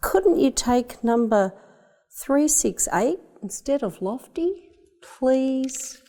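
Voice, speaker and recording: A woman's voice: sits high, expressive, slow pace, dark tone, in a large hall, voice pushed up, heavily acted. An Australian woman voicing a heartbroken, pleading young boy in a children's storybook. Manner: earnest, pleading.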